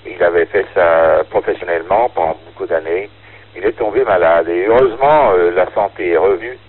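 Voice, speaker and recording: Very deep-voiced man on tinny radio. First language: French. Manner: halting, searching for words. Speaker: amateur radio operator. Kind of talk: casual radio chat. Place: radio link from home. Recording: tinny band-limited ham radio transmission.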